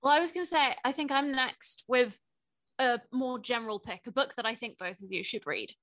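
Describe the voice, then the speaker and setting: A woman's voice: fairly high pitch, a little fast, a bit bright. A young woman co-hosting a casual book podcast, speaking with enthusiasm and some hesitant phrasing. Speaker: podcast co-host.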